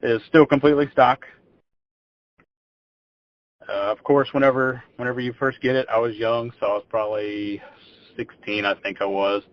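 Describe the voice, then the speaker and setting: A man's voice: medium pitch, fairly even intonation, moderate pace, long pauses. A man, telling his story as a podcast guest in a rambling, casual way. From Texas. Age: 20s. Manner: rambling, repeats words when starting phrases. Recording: dull lo-fi audio.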